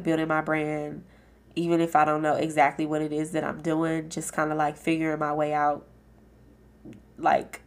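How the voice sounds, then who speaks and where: Raspy, low-voiced woman chatting casually. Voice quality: raspy and nasal. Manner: chatty and frank, with lots of fillers. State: hoarse with a cold. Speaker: podcast host. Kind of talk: casual solo podcast chat.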